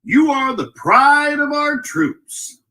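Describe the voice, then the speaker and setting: Man playing a very high, showy radio announcer. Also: animated, slow pace, sharp tone, heavily acted. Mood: proud, showy. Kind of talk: 1940s radio acting.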